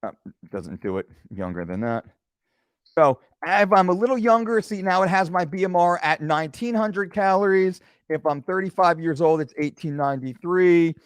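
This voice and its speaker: A man in his forties leads a screen-share fitness lesson with a fairly high voice that swings widely in pitch. He keeps things animated and throws in joking asides. The sound is close and dry, with no room around it. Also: normal speed, smooth, no room sound. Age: 40s. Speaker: fitness coach.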